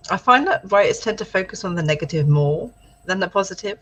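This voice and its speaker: A woman's voice: medium pitch, animated, brisk, fairly bright tone, low-quality recording. A British woman co-hosting a casual writing livestream, chatting and reading out viewer comments encouragingly. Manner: chatty, encouraging, thinks aloud. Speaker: livestream co-host.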